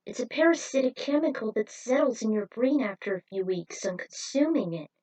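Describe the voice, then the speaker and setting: A woman's voice: a little high, expressive, fairly quick, warm tone, far from the mic, rough recording. A woman voicing an outspoken female pilot who explains things in a matter-of-fact way for a story. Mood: knowing.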